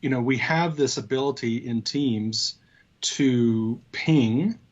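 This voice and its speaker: A man co-hosting a casual tech discussion, talking in a halting way as he thinks aloud. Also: mid-range voice, dull audio. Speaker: co-host of a tech show.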